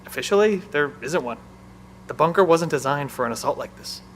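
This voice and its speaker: A man's voice: a little high, expressive, fairly quick, slight background noise, natural voice. An actor playing an anxious, protective male bunker technician and new father in an audio drama. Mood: grim, worried.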